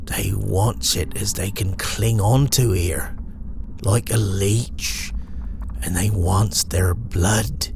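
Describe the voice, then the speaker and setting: Eerie storybook crone, played by a man: rustic English dialect, gravelly, wary, superstitious, slow ominous muttering. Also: lowish voice, noisy background. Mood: grim warning. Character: eerie old village woman, wary and superstitious.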